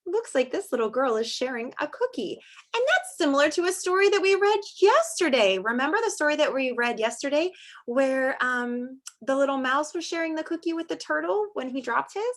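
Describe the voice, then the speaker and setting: A woman with a fairly high voice speaks as an elementary teacher sharing a picture book with little kids. She explains things in simple words, puts questions to her young listeners, and delivers it all expressively. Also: lively intonation, a little fast, fairly bright tone. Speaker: elementary teacher reading aloud to children. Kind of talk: storybook read-aloud lesson for young children. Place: online video session with screen sharing.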